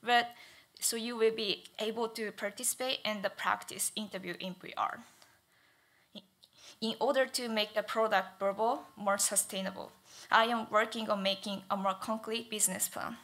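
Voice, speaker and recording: A young woman presents her thesis at a lectern microphone on a stage, not speaking in her first language. Her delivery is careful and halting, with many fillers. Her voice is bright and clear at an ordinary pitch. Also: fairly even intonation. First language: not English. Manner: stutters, careful with frequent fillers. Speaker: student presenter. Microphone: lectern mic.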